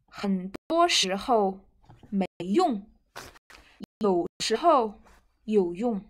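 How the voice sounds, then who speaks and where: A young woman with a Chinese accent leads an online Mandarin vocabulary lesson. Her voice sits fairly high and she speaks extremely slowly. She repeats words and short phrases deliberately, giving them clear emphasis. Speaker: Mandarin language teacher. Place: indoors, seated at a computer.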